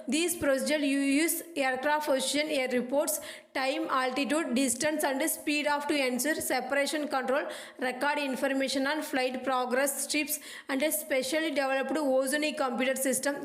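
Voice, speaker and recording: Female lecturer, high pitch, clipped and halting read of study notes, roomy echo. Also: steady tone. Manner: halting, clipped reading with dropped words. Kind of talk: scripted educational narration.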